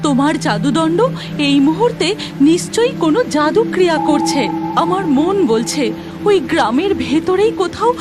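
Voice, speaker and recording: A woman voicing an earnest, excitable young cloud fairy in a cartoon story, speaking with wonder. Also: very high-pitched, normal speed, loud music behind the voice.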